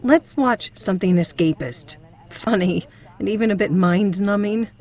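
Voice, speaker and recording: A woman's voice: mid-range voice, moderate pace, a little mellow, lightly acted. A woman playing an earnest, principled psychotherapist with a prim, concerned manner in an audio drama. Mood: wry.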